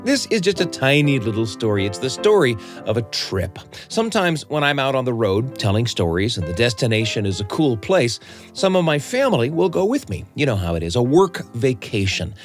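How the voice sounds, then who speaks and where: A middle-aged American man hosting and storytelling, speaking in an earnest, deliberate, reflective way. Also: mid-range voice, big pitch swings, faint music behind. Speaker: host and storyteller. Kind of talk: reflective conversation and personal storytelling.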